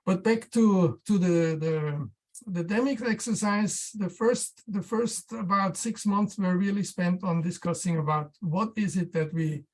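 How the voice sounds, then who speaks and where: Researcher doing a tech talk, mid-pitched voice, kinda halting, repeats words a lot while finding the phrasing. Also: low-quality recording. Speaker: researcher presenting a project. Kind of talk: technical talk, loosely scripted presentation.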